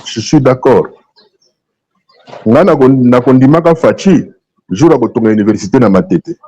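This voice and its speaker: Dark-voiced Congolese man, emphatic talker. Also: medium pitch, lively intonation, moderate pace, lo-fi sound. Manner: emphatic, repeats phrases, switches between French and Lingala. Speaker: political guest on a talk show. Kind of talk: opinionated political discussion.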